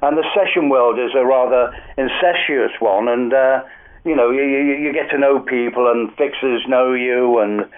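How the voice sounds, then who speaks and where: A man's voice: mid-range voice, moderate pace. An older man with a Liverpool accent, a guest telling rambling music-industry stories in a casual radio interview. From Liverpool, England. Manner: rambling, anecdotal, many fillers. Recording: tinny phone-like radio line.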